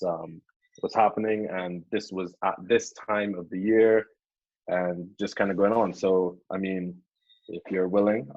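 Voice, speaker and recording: Casting: young man, husky low voice, earnest guest, dull lo-fi call sound. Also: a little mellow, dry recording. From Caribbean. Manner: earnest, repeats words while thinking. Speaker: podcast guest. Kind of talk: casual podcast discussion.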